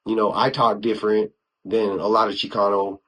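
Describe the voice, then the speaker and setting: A man's voice: lowish voice, level tone, moderate pace, a bit bright, slightly rough, low-quality recording. An American man from Wyoming hosting a solo podcast, talking informally with slang and frequent conversational asides. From United States (Wyoming). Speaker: podcast host. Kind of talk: casual solo podcast talk, reviewing YouTube channels.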